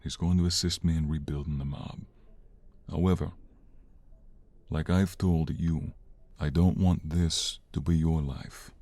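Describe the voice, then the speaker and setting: A man acts out an older crime boss in an audio drama, very low and dark in tone. He is stern yet protective, weighing his formal words slowly like a weary father. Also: steady tone, no echo, heavily acted. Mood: firm, fatherly. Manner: measured, deliberate, formal phrasing. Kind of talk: audio roleplay voice acting.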